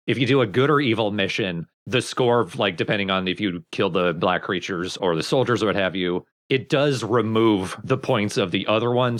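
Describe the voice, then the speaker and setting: A man's voice: sits low, a bit bright. A young man casually explaining game mechanics as a let's play commentator.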